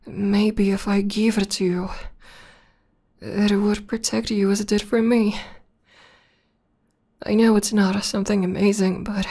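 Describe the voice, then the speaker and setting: A woman playing a tender, lovestruck tomboy demoness in a romantic ASMR roleplay, speaking softly and haltingly with half-whispers. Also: medium pitch. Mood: tender, moved. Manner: soft, halting, breathy half-whispers with emotional stammers. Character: tender, lovestruck tomboy demoness, millennia old, newly proposing.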